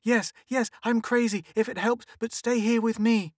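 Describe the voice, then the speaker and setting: Shrill terrified housewife, put on by a man. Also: very animated, a little fast, no room sound, studio quality. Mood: desperate pleading. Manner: shrill and pleading. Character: nervous, anxious middle-aged housewife.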